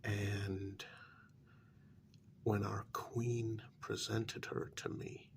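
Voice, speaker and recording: A man playing a blunt, put-upon vampire counselor in a soft-spoken ASMR role-play, breathy and often near a whisper, halting and formal. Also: on the low side, a little hiss or hum, heavily acted.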